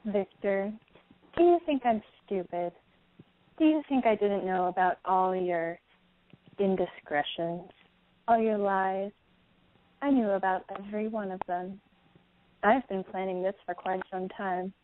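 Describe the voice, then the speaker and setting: A woman's voice: mid-range voice, measured pace, long pauses, lightly acted. A woman playing a bitter, vengeful betrayed wife and mother in an audio play, accusing and indignant. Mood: cold accusing. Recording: tinny phone-like sound, clear background noise.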